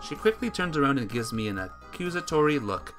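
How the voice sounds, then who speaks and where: A man's voice: mid-range voice, sing-song, brisk, fairly bright tone, soft background music. A man streaming a visual novel, reading the text aloud dramatically and chatting casually with viewers.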